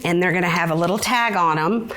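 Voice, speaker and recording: A woman with a slightly low voice who explains her craft projects in an upbeat way. Faint noise sits behind her. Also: fast, fairly bright tone, rough recording. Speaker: crafter friend.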